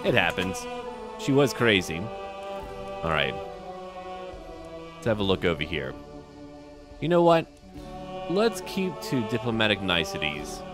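Male gaming host, low register, very varied intonation, offhand delivery, talks to the on-screen characters. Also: big pitch swings, measured pace, long pauses, over music. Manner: talks aloud to game characters. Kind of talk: casual gameplay commentary.

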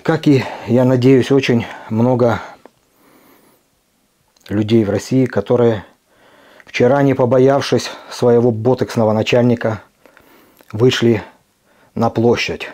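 A middle-aged man from Ukraine who runs a video blog. He gives an impassioned address straight to camera, speaking earnestly and emphatically with deliberate pauses. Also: mid-range voice, fairly even intonation, warm tone. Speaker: video blogger.

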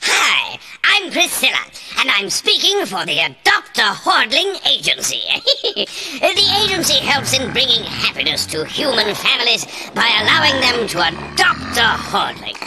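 A bubbly, gushing spokeswoman pitches in a spoof game advert. Her voice is high and swoops widely, with loud music behind. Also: wildly swooping pitch, moderate pace, few pauses. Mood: gushing, cheerful. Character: perky spokeswoman for a monster adoption agency.

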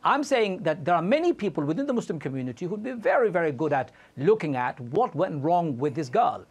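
A man's voice: fairly high pitch, a little fast, husky. A man in his forties, born in Bangladesh, arguing insistently as a guest in a televised studio debate. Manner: impassioned, insistent, animated. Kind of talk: heated TV debate.

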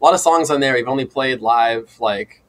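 A man's voice: mid-range voice, moderate pace. A young man, a band member, talking casually as a guest in an interview. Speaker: indie rock band member, podcast guest. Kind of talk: casual chat.

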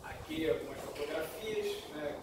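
A very reverberant, distant recording with loud noise carries a Brazilian man's voice. He is a speaker at an academic conference, formally talking through slides in a lecture room. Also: fairly high pitch, expressive, unhurried. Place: lecture room with an audience, indoors. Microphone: distant camera in the audience. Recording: very echoey, noisy room recorded from afar.

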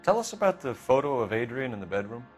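Actor as stern American detective, animated pitch. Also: male voice, fast, soft background music, lightly acted. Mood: probing. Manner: clipped, formal, confrontational. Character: stern, accusing young male police detective. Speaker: police detective. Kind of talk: dramatic game interrogation acting.

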